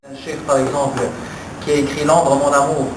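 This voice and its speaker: A man's voice: fairly high pitch, flat delivery. A man moderating a literary panel, putting questions to the panelists. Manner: quick, questioning, addresses panelists. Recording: echoey room, distant mic, background noise.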